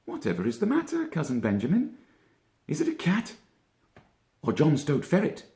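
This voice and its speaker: Man as a pompous, high-voiced young rabbit. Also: wildly swooping pitch, normal speed, dark tone, echoey room. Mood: curious. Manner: reflective, wordy, self-important. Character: level-headed, pompous young male rabbit cousin. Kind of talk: children's storybook character voice.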